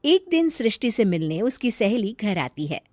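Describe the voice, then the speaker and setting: A woman's voice: mid-range voice, sing-song, normal speed, darkish tone, no room sound, studio quality. A woman narrating a scripted family tale in storybook style.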